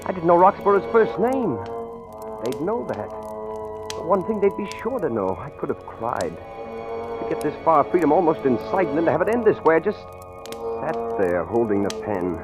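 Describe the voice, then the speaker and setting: A man's voice: a little high, big pitch swings, normal speed, lo-fi sound. An actor playing a nervous, bitter husband trapped by gangsters in an old radio thriller, hesitant and telling his own story. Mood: despairing. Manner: hesitant, stammering under pressure. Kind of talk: 1940s radio thriller acting. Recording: old radio recording with loud music.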